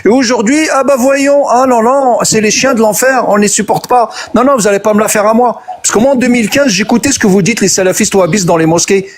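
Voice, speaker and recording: A man's voice: high voice, fast, few pauses. A man in a heated live online debate, talking in a sarcastic, accusing way. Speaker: debate participant.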